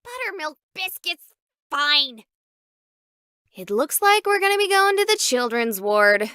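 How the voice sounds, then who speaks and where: A woman playing an excitable, chatty young streamer exploring a haunted place in a visual novel, with an expressive, playful delivery. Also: high voice, unhurried, no room sound, clean studio sound, heavily acted. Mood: dread, exasperated.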